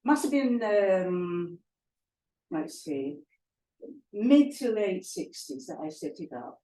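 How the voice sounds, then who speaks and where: An older woman from London with a softened London accent, giving a chatty talk to an audience with many fillers and restarts. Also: mid-range voice, big pitch swings, slow. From England. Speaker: guest speaker. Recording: echoey hall, heard from far off.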